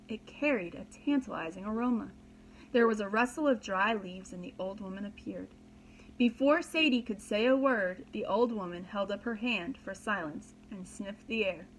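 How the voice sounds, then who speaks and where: A woman's voice: medium pitch, normal speed, slight background noise, low-quality recording. A woman reading a children's story aloud as a preschool teacher, dramatically and expressively, with simple explanations for young listeners.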